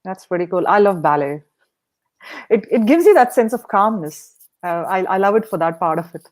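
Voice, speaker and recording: A woman's voice: mid-range voice, lively intonation, normal speed. Upbeat woman podcast host. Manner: upbeat and encouraging. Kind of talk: interview hosting.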